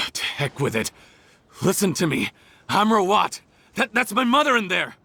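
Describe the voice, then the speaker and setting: A bitter criminal in a video game, defiant and sarcastic. His voice is bright and sharp, and it swings widely in pitch as he mocks the people around him. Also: very animated, a little slow, vocal fry, full character voice. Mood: desperate.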